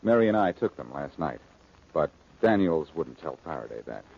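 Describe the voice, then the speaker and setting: An actor playing a cocky, flippant amateur detective and ex-crook in a 1940s radio drama. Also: male voice, rumbling low, sing-song, moderate pace, full character voice. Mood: brisk, businesslike. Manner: flippant, smooth-talking, teasing. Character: wisecracking, cocky amateur sleuth, ex-crook, adult man. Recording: muffled 1940s radio recording.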